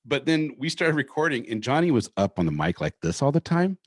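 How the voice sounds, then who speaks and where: Man, deep voice, lively pitch swings, casual podcast chat, dry close mic. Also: a little fast, dry recording. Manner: animated, swears freely, repeats words when excited. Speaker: podcast host. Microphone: studio podcast mic.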